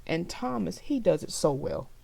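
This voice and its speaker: A woman narrating a children's story aloud, expressively and deliberately, as if to young listeners. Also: on the low side, animated, gravelly, dark tone, no room sound.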